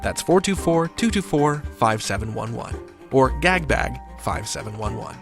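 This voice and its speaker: A man's voice: mid-range voice, lively intonation, normal speed, soft background music. A man hosting a podcast with scripted narration in a wry, playful manner. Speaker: podcast host.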